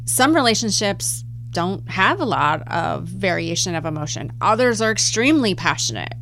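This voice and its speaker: Female advice-podcast host, conversational and challenging, medium pitch, pointed questions, light hum on the recording. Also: very animated, moderate pace, vocal fry, a bit bright, rough recording. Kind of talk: casual advice podcast debate.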